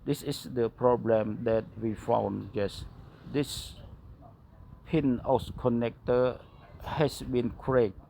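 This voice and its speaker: A man with a non-native English accent narrating a hands-on repair tutorial as a technician, speaking in short, broken, halting phrases. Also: mid-range voice, steady tone, gravelly, warm tone, a little hiss or hum. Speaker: phone repair technician and instructor.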